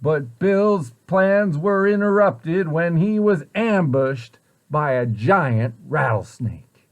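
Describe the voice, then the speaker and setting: Male storyteller, slightly high voice, expressive picture-book read-aloud, deliberate dramatic phrasing. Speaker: storybook reader, site director at a children's center.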